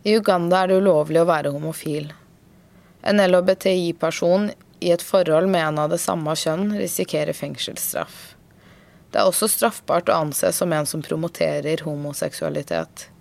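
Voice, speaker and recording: A woman reads factual narration in a flat, matter-of-fact manner. Her voice is very low, with a marked creaky fry. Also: normal speed.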